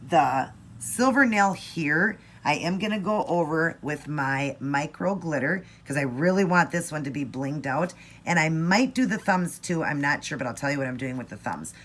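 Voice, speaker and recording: A hoarse woman recovering from laryngitis, chatting through a nail art tutorial. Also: sits low, slight background noise. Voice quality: raspy. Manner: friendly, chatty, explains each step. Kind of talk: casual tutorial chat.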